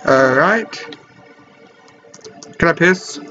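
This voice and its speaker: A man's voice: on the high side, expressive, drawn-out words, pushed and bright. A young man hosting a casual let's play in his bedroom, joking and reading game text aloud. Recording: lo-fi webcam audio with game music.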